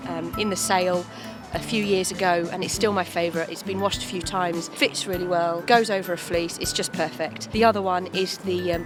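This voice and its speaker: An English woman chatting informally in a personal vlog, bunged up with a cold and a sore throat. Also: on the low side. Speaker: vlogger.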